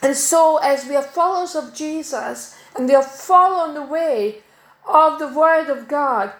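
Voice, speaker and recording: A middle-aged woman from Denmark preaching a sermon in a church, measured and emphatic, slowing to stress key words. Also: high voice, fairly bright tone. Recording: echoey church hall.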